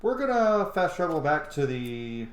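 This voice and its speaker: A man's voice: fairly high pitch, big pitch swings, unhurried. A man giving casual, informal and opinionated gameplay commentary as a let's play host. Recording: roomy echo, loud game music behind. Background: game music and sound.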